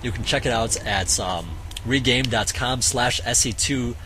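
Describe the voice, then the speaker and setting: Hoarse man casting a strategy game. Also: mid-range voice, background noise. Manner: halting, many fillers and restarts. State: voice getting hoarse. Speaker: game caster. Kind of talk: casual play-by-play commentary.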